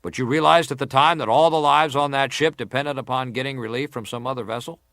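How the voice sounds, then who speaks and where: An actor playing a formal, persistent senator who questions a witness deliberately in a dramatised hearing. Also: male voice, medium pitch, steady tone, fairly bright tone, no room sound, lightly acted. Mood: insistent, stern. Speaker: interrogator at a hearing. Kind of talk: dramatised reading of hearing testimony.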